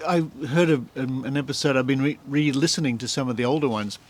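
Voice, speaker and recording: A man's voice: mid-range voice, fast. A man hosting a casual, conversational podcast interview. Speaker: podcast host interviewing a guest.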